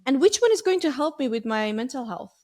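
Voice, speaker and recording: A woman host who speaks English as a second language, with Persian as her first, poses long and searching questions. Her voice sits a little above the usual pitch for a woman. She talks softly and thinks aloud about her own life as she asks. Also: sing-song, fairly quick. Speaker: podcast host. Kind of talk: interview questions.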